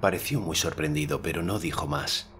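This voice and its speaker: Narrador de voz muy grave. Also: male voice, very deep voice, a little hiss or hum. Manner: slow, calm, deliberate. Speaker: audiobook narrator. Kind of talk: audiobook narration. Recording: music bed under narration.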